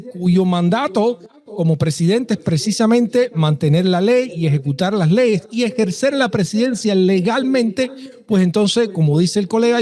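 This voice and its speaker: Guy from cuba on a talk panel, high pitched, mellow, quiet and thoughtful. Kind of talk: political debate commentary.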